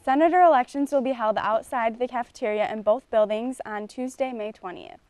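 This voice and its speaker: A woman's voice: a little high, a little fast, a little mellow, soft background music. A young woman anchoring a student news show, reading school announcements in a studio. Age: young adult. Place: studio with curtain backdrop.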